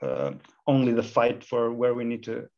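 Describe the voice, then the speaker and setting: A man's voice: mid-range voice, animated, normal speed, vocal fry. A man in his thirties from Iceland, a university lecturer talking thoughtfully in a video-call panel, with many restarts. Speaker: university lecturer and equality committee chair. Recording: slightly dull video-call audio.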